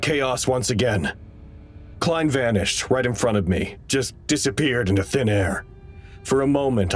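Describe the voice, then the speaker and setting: A low male voice for an earnest, anxious facility researcher acted by a man in an audio drama. He speaks with deliberate, dramatic weight, like someone logging a crisis. Faint music plays behind him. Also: close to an everyday voice. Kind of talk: audio drama acting, log narration.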